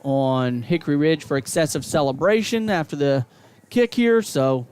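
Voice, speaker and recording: A man calling high school football play-by-play on radio, building to excited calls on big plays. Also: moderate pace, clean tone. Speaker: play-by-play announcer.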